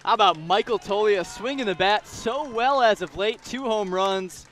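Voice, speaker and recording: A man with an American accent works as the color commentator on a baseball broadcast. His voice is on the higher side. He offers conversational analysis of the players between plays. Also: moderate pace, fairly bright tone, a little hiss or hum.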